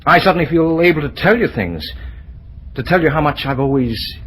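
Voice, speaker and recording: A man playing a bashful, lovestruck young man in an old-time radio drama, with an earnest, halting delivery. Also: a little high, expressive, lightly acted. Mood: tender, yearning. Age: young adult. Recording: noisy vintage radio drama recording.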